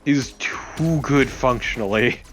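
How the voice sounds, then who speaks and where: A man's voice: medium pitch, takes it slowly, a bit bright, a little hiss or hum. A man co-commentating on a video game in a conversational, blunt and opinionated way. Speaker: let's play co-commentator. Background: game music.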